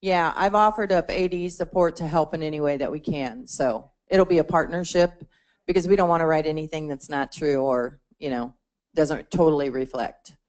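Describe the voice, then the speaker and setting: A woman's voice: sits low, moderate pace, darkish tone, rough recording. A woman presenting to a membership meeting, explaining a support portal conversationally, with fillers and restarts. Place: meeting room.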